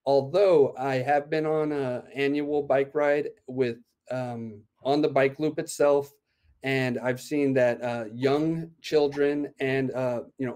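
A man's voice: medium pitch, mellow. A man in his thirties, an event organizer, explaining plans in an upbeat way with many "uh" fillers, talking from a room at home over a laptop webcam. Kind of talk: video call interview. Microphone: laptop webcam on video call.

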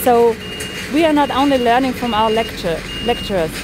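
A woman's voice: a little high, expressive, moderate pace. A woman student giving a testimonial to camera outdoors. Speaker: master's student. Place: outdoors in front of a university building. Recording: clear outdoor traffic noise.

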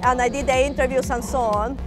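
A woman talks in a high, clear voice, explaining things and checking in with the listener. She is interviewed outdoors on a clip mic. Music plays clearly behind her. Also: steady tone, fairly quick. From Latin America. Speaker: program manager. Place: outdoors at a racetrack.

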